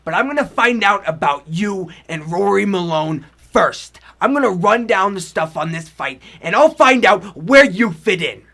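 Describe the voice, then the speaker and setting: An actor playing a tough, sarcastic, needling police detective with a menacing edge in a 1940s radio drama. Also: male voice, sits high, lively intonation, normal speed, hardly any pauses, sharp tone, heavily acted. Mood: skeptical, needling. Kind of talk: 1940s radio noir acting.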